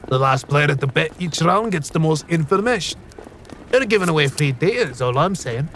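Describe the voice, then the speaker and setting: An actor playing a seasoned, wry gambler mentor in a fantasy audio drama, colloquial and instructive. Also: male voice, fairly high pitch, lively intonation, fairly quick, fairly bright tone, a little hiss or hum.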